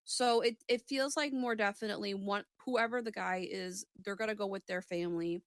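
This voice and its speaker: Woman doing a casual psychic reading chat, kinda halting and rephrasing stuff, mid pitch, dry sound. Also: steady tone, no room sound. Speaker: psychic reader on a talk show. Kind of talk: casual intuitive reading in conversation.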